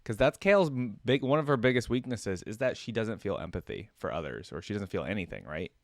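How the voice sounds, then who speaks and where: Low-voiced man giving casual fan commentary. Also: animated, a little fast, creaky, no echo, studio quality. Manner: musing, slangy, interjecting. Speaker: reaction video host.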